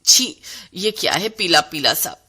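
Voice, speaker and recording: A middle-aged Indian mother-in-law from a cartoon family tale, acted by a woman narrator. Her voice sits low and swings widely in pitch. She scolds and frets in dramatic, exasperated exclamations. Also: animated, a little slow, bright, forceful tone, exaggerated voice. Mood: exasperated scolding.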